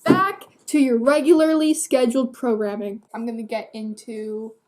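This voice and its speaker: A woman's voice: on the high side, fairly even intonation, moderate pace, background noise. An eighteen-year-old woman giving a casual, rambling book review to camera in a candid, self-deprecating, hesitant way.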